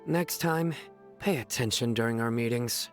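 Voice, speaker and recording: A man's voice: mid-range voice, normal speed, soft background music, close to an everyday voice. A man playing a stern, terse general in a video game, speaking in a curt, commanding way. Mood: stern, guarded. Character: stern, terse male general of an order of enforcers.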